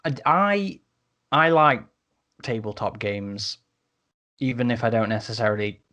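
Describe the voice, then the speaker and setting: A man with a medium-pitched voice talks as a podcast host. He keeps it conversational and casual, often pausing and repeating a word as he works toward his point. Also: bright, forceful tone.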